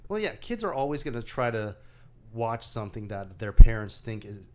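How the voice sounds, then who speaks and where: A man co-hosting a casual movie discussion, recapping a film in a halting, wry way. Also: lowish voice, very animated, mellow, slight background noise. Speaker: co-host of a movie discussion show.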